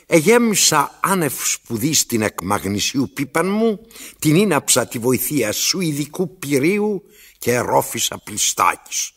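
An actor playing a pompous, cantankerous old master in a theatrical radio play, declaiming with halting repetitions. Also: male voice, mid-range voice, big pitch swings, a bit bright, breathy edge, exaggerated voice. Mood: pompous storytelling.

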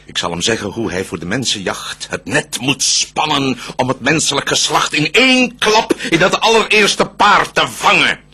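A calculating devil tempter speaks emphatic verse in a radio play. The voice is bright and somewhat high, with animated intonation. The delivery is eager, defiant and theatrical. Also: male voice, moderate pace, slightly rough, full character voice.

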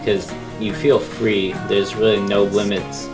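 A man's voice: lowish voice, level tone, measured pace. A young man reflecting casually in a documentary interview. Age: teens to young adult. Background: guitar music.

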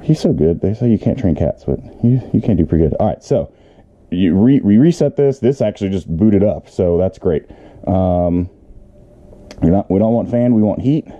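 A man's voice: low-pitched, animated, moderate pace, mellow, dry recording. A middle-aged man giving a casual, rambling how-to walk-through, thinking aloud into a handheld camera. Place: outdoors beside a camper, later inside it. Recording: muffled handheld camera audio with clear background noise.

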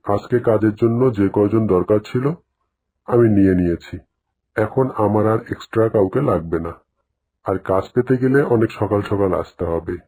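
Cartoon tiger employer, male actor: stern and dismissive, lowish mellow voice. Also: husky. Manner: blunt, dismissive. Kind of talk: cartoon dialogue.

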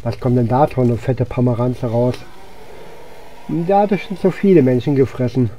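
A man's voice: medium pitch, moderate pace, mellow, a little hiss or hum. A man gives casual, rambling video game commentary, thinking aloud as he plays. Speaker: gaming channel host. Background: game music and sound effects.

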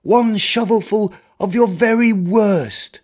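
A man's voice: high voice, slow, a little mellow, voice pushed up. A man voicing a freezing poor man who humbly pleads for coal, in a storybook reading. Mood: pleading.

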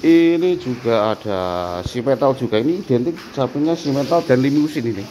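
A man's voice: medium pitch, brisk. A man vlogging a walk through an outdoor cattle barn, chatting with a worker and repeating answers back, with a faint hum around him. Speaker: vlogger touring a cattle farm. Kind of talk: casual walk-through vlog with interview.

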